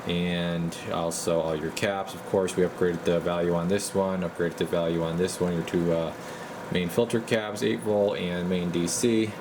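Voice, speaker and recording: Low-voiced man, noisy recording. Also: level tone, moderate pace. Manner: folksy, rambling, informal. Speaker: radio repair technician and video host. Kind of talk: casual hands-on repair walkthrough. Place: indoor repair workbench. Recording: noisy room with clear background hum.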